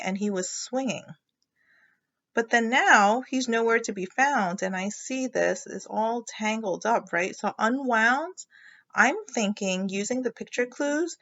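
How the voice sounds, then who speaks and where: Woman, children's teacher, read-aloud lesson, explanatory, questioning, unhurried, creaky edges, slightly dull lo-fi recording. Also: medium pitch, a bit bright. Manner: explanatory, thinking aloud, prompting listeners.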